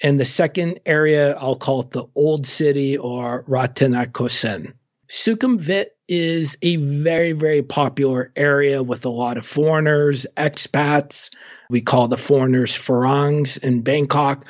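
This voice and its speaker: A man speaks at a middle pitch in a relaxed, informative way, pausing on fillers as he explains. The recording is slightly dull and muffled, like remote audio. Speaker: travel guest expert. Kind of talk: casual travel advice conversation.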